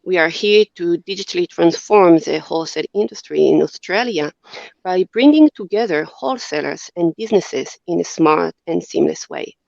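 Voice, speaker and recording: A woman's voice: fairly low pitch, moderate pace, gravelly, darkish tone, no echo, highs cut off. A woman in her forties from Israel with an Israeli accent, a company head speaking earnestly in a podcast interview, with many fillers and restarts. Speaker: company CEO, guest.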